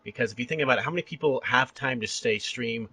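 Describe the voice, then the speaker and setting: A man chatting casually as a podcast interviewer, talking in an animated, rambling, enthusiastic way. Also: medium pitch, fast, creaky, over a noisy crowd. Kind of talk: casual fan chat about entertainment.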